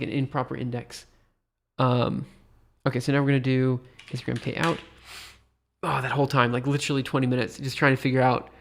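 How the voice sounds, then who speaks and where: A man's voice: mid-range voice, vocal fry. A tired man with a stuffy nose thinks aloud while live coding on a stream, rambling hesitantly and poking fun at himself. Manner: rambling, hesitant, self-deprecating.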